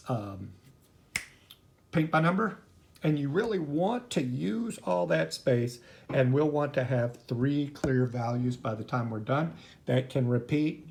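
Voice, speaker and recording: A man's voice: medium pitch, gravelly, darkish tone. A man teaching art in an informal, chatty, rambling hands-on demonstration, easily drifting into asides. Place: at home, indoors. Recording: noisy home recording, clicks.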